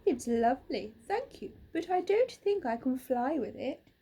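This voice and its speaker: A woman voicing a kind little female owl in a storybook, gentle and friendly. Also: sits high, sing-song, measured pace, slightly rough, full character voice.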